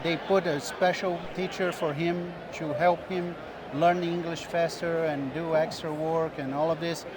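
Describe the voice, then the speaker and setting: A middle-aged man from Brazil with a Brazilian Portuguese accent, explaining diving medicine in a measured way as an interview guest. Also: on the high side, flat delivery, a crowd in the background. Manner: measured, explanatory, with small restarts. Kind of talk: interview answers. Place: busy expo booth floor.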